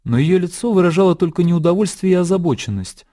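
Мужчина читает литературное повествование от автора в радиоспектакле. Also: male voice, sits high, expressive, quick pace, warm tone. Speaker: narrator. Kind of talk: scripted literary narration in radio drama.

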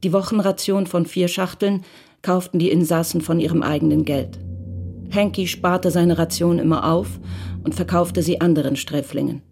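A woman giving calm, measured scripted narration for a radio crime drama. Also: fairly low pitch, gravelly, a little hiss or hum.

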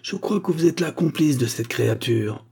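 Guy doing a bitter snooty lady from an audiobook, high for him, husky, hard and accusing. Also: a little fast. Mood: angry. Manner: sharp, indignant, hard-toned. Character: vindictive, haughty older woman, quick to accuse.

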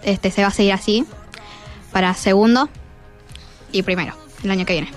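A woman's voice: medium pitch, fairly even intonation, normal speed. Hesitant teenage Argentine girl on radio. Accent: Argentine, Buenos Aires. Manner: hesitant, searching for words. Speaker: student guest. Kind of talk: informal spoken answers in an interview. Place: radio studio. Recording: music playing behind.

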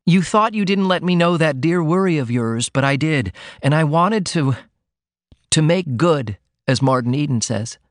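A frank, innocent young woman, put on by a male reader, pours out her feelings in a raised, lively voice. She speaks earnestly and with feeling, like a storybook heroine. The sound is dry and close. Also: fairly high pitch, moderate pace, no echo, voice pushed up, lightly acted. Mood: earnest confiding. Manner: confiding, emotional, earnest. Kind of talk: audiobook character reading.

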